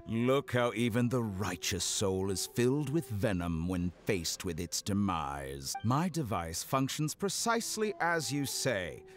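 An actor playing a suave, scheming male villain in a game cutscene, smooth and condescending, with music underneath. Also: on the low side, big pitch swings, slow pace. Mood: mocking. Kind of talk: video game voice acting.

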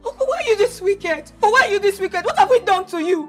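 A woman's voice: very high voice, normal speed, loud music behind the voice. An actress with a Nigerian accent playing a desperate young woman who begs and wails through tears in a film melodrama. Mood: angry, tearful. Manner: pleading, wailing, repetitive. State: crying. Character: desperate young woman begging her stern uncle.

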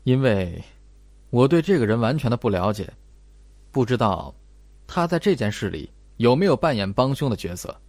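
A man playing a calm, clever young male student detective in an audiobook, measured and explanatory. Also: mid-range voice, dry recording. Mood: cool, analytical.

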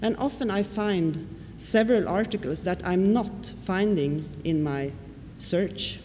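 A woman whose first language is Swedish, giving a conference lecture and often pausing on fillers. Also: on the low side, a little mellow. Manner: explanatory, with frequent fillers and questions to the audience. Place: lecture hall. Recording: reverberant hall with faint noise.

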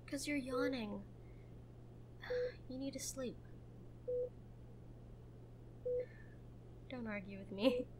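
Woman acting a high-voiced, protective, prickly girlfriend. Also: a little hiss or hum, rough recording. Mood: tender, bossy. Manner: tender but bossy, halting when vulnerable. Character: protective, prickly young woman, frightened for her injured lover. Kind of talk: girlfriend roleplay audio drama.

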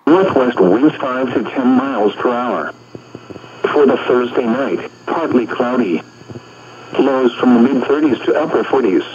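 A synthetic man's voice reads a weather bulletin in measured, impersonal phrases. It sits at a medium pitch. The radio sound is dull and lo-fi, with clear background noise. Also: darkish tone. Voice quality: synthetic text-to-speech. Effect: weather radio broadcast. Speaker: automated weather radio announcer. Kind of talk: scripted weather bulletin reading. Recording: noisy muffled radio picked up by microphone.

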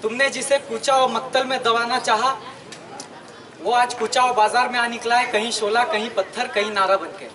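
A man in his twenties with an Indian accent gives a political protest speech outdoors, earnest and emphatic, with halting phrasing. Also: extremely high pitch, fairly even intonation. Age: 20s. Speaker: student activist speaker. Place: outdoors at night at an open protest gathering. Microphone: handheld microphone, recorded by camera at a distance. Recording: distant outdoor public-address sound, crowd noise. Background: crowd.

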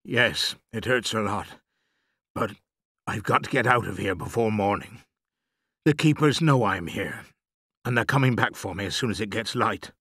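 A man's voice: lowish voice, animated, moderate pace, pushed and bright, husky, dry recording, studio quality, exaggerated voice. A man voicing a loving father in an audiobook story, hurt and trapped, urgent but reassuring to his child. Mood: pained, steady.

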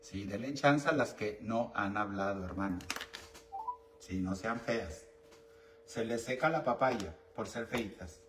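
A man's voice: on the low side, measured pace, a bit bright. A man with a Mexican accent hosting a call-in advice show, bantering in a brash, teasing, campy and bawdy manner. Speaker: call-in show host and esoteric advisor. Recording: roomy echo, distant mic, faint noise.

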